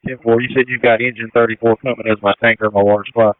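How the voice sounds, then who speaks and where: A male fire commander speaks over a thin, narrowband radio channel. His voice is clear and middle-pitched, and he gives procedural, matter-of-fact instructions as emergency traffic. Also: little pitch movement, racing, no room sound. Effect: two-way radio transmission. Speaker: fire battalion chief acting as incident commander.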